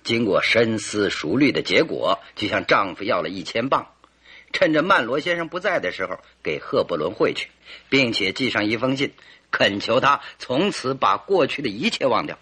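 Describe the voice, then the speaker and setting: A confident detective in an old-fashioned radio drama. His bright voice swings widely in pitch, with a rough edge, as he lays out deductions and poses probing questions like a storyteller. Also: expressive, normal speed, heavily acted. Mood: animated narrating. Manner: theatrical, leisurely deductive storytelling with rhetorical questions.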